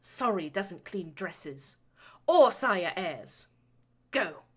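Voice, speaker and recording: A woman voicing a domineering, scornful noble mother who scolds her son in a shrill, loud tirade. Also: very animated, extremely slow, husky, heavily acted. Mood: scolding. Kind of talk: audiobook reading of fan fiction.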